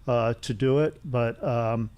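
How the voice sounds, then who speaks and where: A middle-aged man talks as a guest in a casual technical interview. His voice sits at a medium pitch, and he explains things haltingly, circling back and repeating himself as he goes. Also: a little mellow, clean tone. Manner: halting, rambling explanations with repetition. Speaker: interview guest, loudspeaker engineer.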